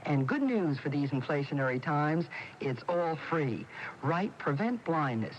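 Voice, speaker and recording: A man's voice: fairly high pitch, very animated, a little slow. A man with an American accent reading a scripted public service announcement on the radio. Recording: muffled AM radio with faint noise.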